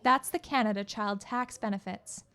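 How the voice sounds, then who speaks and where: A woman reporter giving scripted news narration in a measured, clear delivery as a voice-over. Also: mid-range voice, bright, forceful tone.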